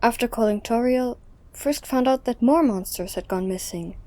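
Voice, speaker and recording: Mellow woman narrating a story. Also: a little high, moderate pace, dry recording.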